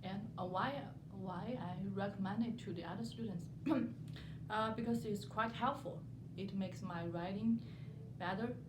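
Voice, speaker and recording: A young woman from southern China with a Chinese accent, a non-native speaker giving informal interview answers as a student, haltingly and repeating words as she searches for phrasing. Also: mid-range voice. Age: young adult. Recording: echoey room, noticeable background noise.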